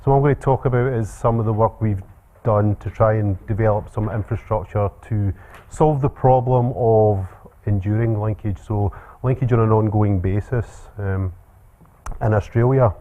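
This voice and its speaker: A man with a Scottish accent giving a relaxed academic seminar talk with frequent ums and uhs. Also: fairly low pitch, moderate pace. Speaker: lecturer. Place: seminar room. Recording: tinny phone-like sound.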